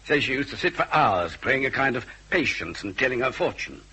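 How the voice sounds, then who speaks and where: Very raspy, weary British police detective. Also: male voice, medium pitch, sing-song, fairly quick, sharp tone, full character voice. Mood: matter-of-fact. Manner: clipped, terse phrasing. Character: weary, matter-of-fact male Scotland Yard police detective. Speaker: supporting actor in detective drama. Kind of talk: classic British radio drama acting.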